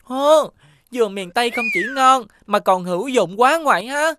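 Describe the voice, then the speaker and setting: A woman voicing a sweet, wide-eyed young child in an animated cartoon, expressive, with a southern Vietnamese accent. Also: fairly high pitch, moderate pace, background noise, close to an everyday voice. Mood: impressed, curious. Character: sweet, appreciative young grandchild.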